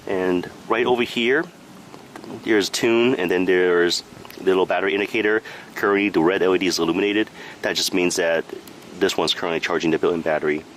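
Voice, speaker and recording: A man's voice: deep voice, fairly bright tone, a little hiss or hum, poor audio. A man narrating a product review step by step in a plain, matter-of-fact manner.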